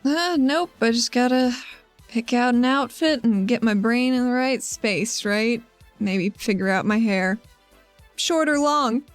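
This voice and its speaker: A woman's voice: fairly high pitch, measured pace, no echo, faint music behind, close to an everyday voice. Woman playing a casual, wry fighter. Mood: casual, resolved.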